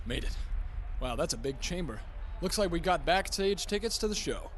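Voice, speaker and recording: A man's voice: fairly high pitch, animated, moderate pace, fairly bright tone, slight background noise. A man playing a cocky, flirtatious adventurer hero in a radio drama, with breezy, glib, pulpy delivery. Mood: awed.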